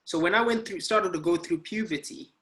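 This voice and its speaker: A man hosting a relaxed podcast, speaking in a fairly high, bright voice. He keeps things upbeat and easygoing, steering the chat with simple questions. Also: a little fast. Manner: upbeat and relaxed, leads the conversation with easy questions. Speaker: podcast host.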